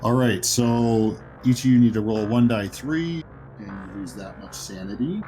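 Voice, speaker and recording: A man's voice: lowish voice, unhurried, mellow, poor audio. A man working as game master, narrating a horror tabletop roleplaying game in a conversational, descriptive way. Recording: music behind the voice. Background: music.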